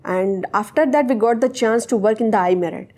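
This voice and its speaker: A woman's voice: on the high side, brisk, darkish tone. Hesitant young woman, Urdu native speaker. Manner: hesitant, searching for words. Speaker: interviewee, technical associate. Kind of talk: interview answers.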